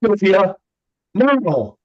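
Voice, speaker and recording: A man playing a troubled young man struggling with alcohol, halting and raw, in a staged play reading. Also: high-pitched, very animated, muffled recording, heavily acted. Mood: angry, pleading.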